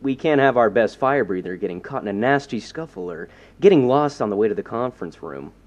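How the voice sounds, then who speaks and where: A man playing an earnest young self-styled superhero in an audio drama, talking informally and haltingly. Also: medium pitch, lively intonation, lightly acted. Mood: awkward, tender. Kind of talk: naturalistic audio drama dialogue. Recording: slightly dull, muffled recording.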